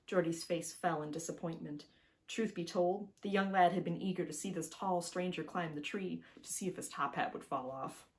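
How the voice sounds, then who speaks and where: A woman author reading her own picture book aloud with animated expression and chatty asides to the listener. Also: fairly low pitch, normal speed, poor audio. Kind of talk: expressive children's storybook narration.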